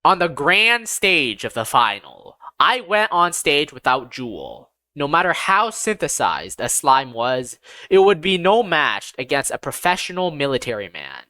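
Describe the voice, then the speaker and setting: A narrator reads a first-person fantasy audiobook in a bright, forward voice. The pitch moves in wide, lively swings, and key phrases are slowed for dramatic weight. The sound is dry and close, with very little room around it. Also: dry recording.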